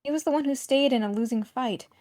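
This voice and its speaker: A woman's voice: high voice, quick pace, darkish tone, no room sound, studio quality, natural voice. A woman voicing an earnest, caring teenage visual novel protagonist who speaks up in a forthright, insistent way. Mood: firm, reassuring.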